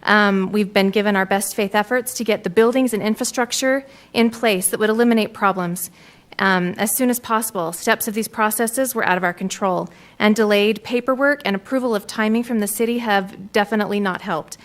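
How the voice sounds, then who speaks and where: A woman's voice: mid-range voice, a little fast. A woman who owns a business, reading an earnest, defensive prepared statement at a city council meeting.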